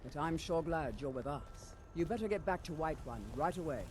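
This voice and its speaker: A battle-hardened, plain-spoken soldier played by a man in a fantasy game. His voice sits fairly high for a man, with faint ambient noise behind it. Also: expressive, brisk, a little mellow, natural voice. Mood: relieved, brisk. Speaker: game character.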